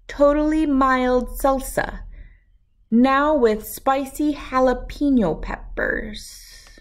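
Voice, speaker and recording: A woman in her thirties reading a children's storybook aloud with expressive, emphatic delivery and dramatic pauses. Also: high-pitched. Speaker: read-aloud host.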